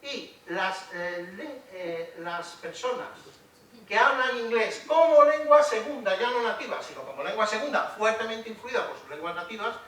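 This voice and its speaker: A man's voice: sits high, animated, normal speed, fairly bright tone. A middle-aged man giving a university lecture, speaking ironically with many hesitations and restarts. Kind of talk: public lecture. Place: lecture hall. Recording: roomy echo from a distant mic with faint noise.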